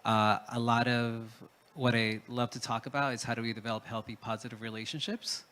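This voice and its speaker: A man's voice: medium pitch, level tone, fairly quick, fairly bright tone. Hesitant, reflective male panelist. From Peru. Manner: hesitant, with many fillers and restarts.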